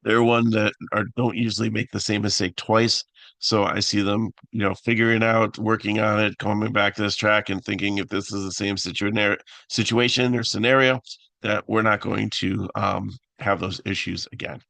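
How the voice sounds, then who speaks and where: A man with a raspy voice hosting a casual fantasy picks talk, halting with many fillers and restarts. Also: lowish voice, fairly bright tone, no room sound. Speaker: fantasy sports analyst host.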